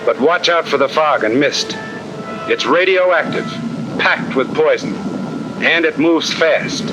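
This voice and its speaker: Blunt army sergeant, 1950 training film. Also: male voice, a little high, takes it slowly, music in the background, poor audio. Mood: firm, instructive. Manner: blunt, slangy, wisecracking. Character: brisk, tough-talking army sergeant instructor. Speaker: instructor.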